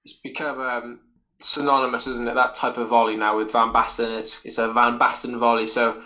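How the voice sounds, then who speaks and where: Bright-voiced man chatting football. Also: medium pitch, little pitch movement, moderate pace, poor audio. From Wales. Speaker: football podcast host. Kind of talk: casual sports chat.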